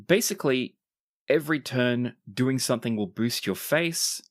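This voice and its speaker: Game master, mid pitch, dry close recording, laying out rules and scenes for players. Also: animated, normal speed, no echo. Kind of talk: explaining tabletop game rules and narrating.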